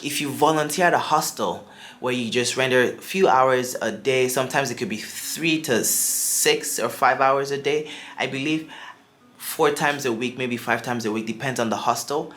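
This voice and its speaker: A man's voice: mid-range voice, moderate pace, fairly bright tone. Upbeat young man with a Nigerian accent. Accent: Nigerian, shifting toward American. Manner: chatty and upbeat, with asides and restarts. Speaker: travel vlogger. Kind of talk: casual advice to camera.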